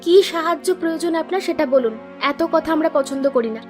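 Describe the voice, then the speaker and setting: An actress plays a snappish young village wife in a cartoon. Her voice is extremely high and she talks fast, curt and scolding, with music underneath. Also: lightly acted. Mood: irritated. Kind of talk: cartoon voice acting. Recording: music behind the voice.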